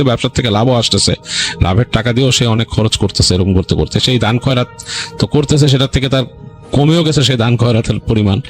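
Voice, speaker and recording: A man with a Bangladeshi accent narrates a story informally, in a colloquial, rambling way with explanatory asides. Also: fairly low pitch. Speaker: ghost story narrator on a radio-style show.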